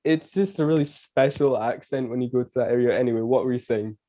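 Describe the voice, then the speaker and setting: Brief: Scottish-accented male podcast host, chatty, mellow warm tone. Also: medium pitch, fast. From Canada. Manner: chatty, laughs between phrases. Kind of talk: casual conversation.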